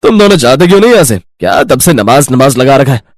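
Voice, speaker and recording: A man's voice: mid-range voice, big pitch swings, quick pace, no echo, studio quality, natural voice. A man playing a hot-tempered fourteen-year-old elder brother in a children's cartoon story, irritable and snapping. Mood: irritated. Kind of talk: children's animated moral story acting.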